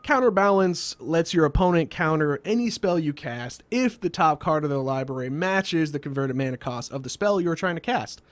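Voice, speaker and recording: A man's voice: a little high, expressive, moderate pace, few pauses, slight background noise. A man hosting a scripted comedic explainer with a wry, sardonic delivery, recorded as a close voice-over. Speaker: host of a card game video series.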